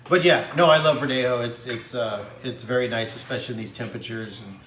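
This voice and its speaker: A middle-aged man chats as a guest on a cooking stream, talking warmly and plainly about wine and simple food. His pitch is average. The room is echoey, and kitchen clatter and noise can be clearly heard around him. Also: fast talker. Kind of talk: casual chat. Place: home kitchen.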